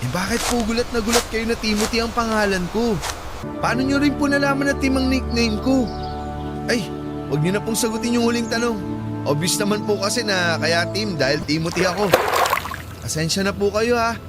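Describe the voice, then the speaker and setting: Male actor for young rider in radio love drama: courteous, caring, jokey, set over loud music. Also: mid-range voice, a little fast, close to an everyday voice. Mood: polite, joking. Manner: polite, deferential, teasing. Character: friendly young male motorcycle taxi rider, concerned and jokey. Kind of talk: dramatized dialogue in an audio love story.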